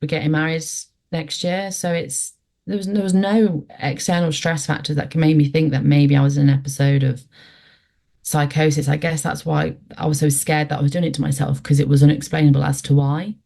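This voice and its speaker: Woman in her twenties, guest, low mellow voice, reflective and halting. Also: flat delivery, vocal fry. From West Yorkshire, England. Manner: reflective, hesitant, lots of fillers. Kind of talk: conversational storytelling interview.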